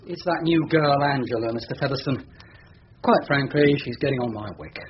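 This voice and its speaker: An actor playing a deferential but aggrieved barristers' clerk in a radio drama. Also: male voice, mid-range voice, moderate pace, lightly acted. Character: brisk, deferential male barristers' clerk with a grudge. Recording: muffled noisy radio recording.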